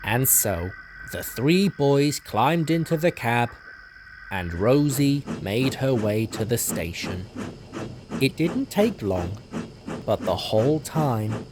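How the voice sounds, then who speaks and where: A man reading scripted storybook narration in a dramatic, deliberate manner. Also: medium pitch, lively intonation, background noise.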